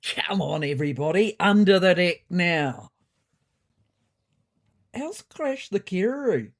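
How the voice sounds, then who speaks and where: Storybook rascal cat played by a narrator: sly, impish, greedy, animated. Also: high voice, measured pace, pitched above the performer's own voice, full character voice. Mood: excited. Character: sly, cheeky male cat, mischievous and greedy. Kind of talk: audio storybook character voice.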